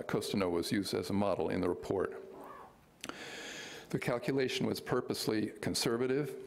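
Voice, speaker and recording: Older man, very deep and gravelly, hesitant with fillers, speaking into a podium mic with faint hiss. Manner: measured, hesitant, with many fillers. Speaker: public commenter. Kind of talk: public comment at a meeting. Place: county board meeting chamber, indoors. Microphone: podium microphone.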